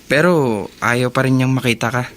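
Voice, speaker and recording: An actor with a Filipino accent playing an apologetic older brother, young and gently hesitant, in a radio drama. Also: male voice, mid-range voice, moderate pace, slight background noise, lightly acted. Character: apologetic older brother visiting a jailed sibling.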